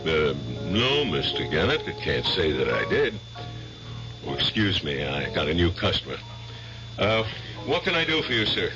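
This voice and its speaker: An obliging American bartender in a vintage radio drama. He has a lowish voice with very lively pitch and an easygoing, folksy way of chatting. The sound is dull, with clear music behind. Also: normal speed. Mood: agreeable, chatty. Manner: folksy, agreeable small talk. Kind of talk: 1970s radio drama acting.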